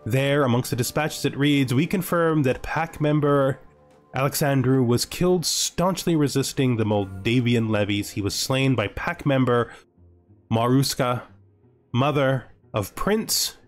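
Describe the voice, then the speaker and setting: A man giving casual strategy game commentary as host, thinking aloud and reading event text. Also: medium pitch. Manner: thinking aloud, asking himself questions. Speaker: let's-play host.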